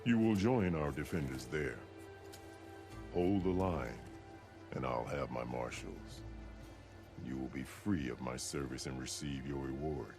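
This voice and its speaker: Really deep booming war chief, stern and formal, game music under it. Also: male voice, measured pace, long pauses. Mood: solemn commanding. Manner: formal, solemn. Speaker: game character. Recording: game audio with music behind.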